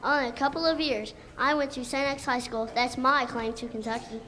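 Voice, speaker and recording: A woman's voice: sits high, fairly even intonation, fairly quick. A female performer playing a breezy male movie star in a scripted school radio show. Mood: casual. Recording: echoey hall, loud music behind.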